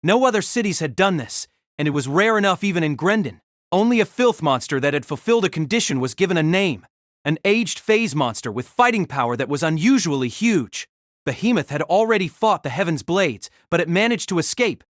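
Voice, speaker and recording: A man's voice: a little high, lively intonation, brisk, no echo, studio quality. A man narrating a fantasy novel as a dramatic, scripted audio drama.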